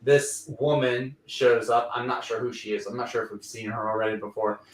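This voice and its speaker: A man's voice: medium pitch, little pitch movement, brisk, recorded from a distance. A man from Louisiana hosting a casual comic book livestream, recapping stories in a rambling way with lots of fillers. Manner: rambling, filler-heavy recap with playful quoted voices. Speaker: comic book show host. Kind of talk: casual livestream review chat. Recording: roomy sound from away from the mic.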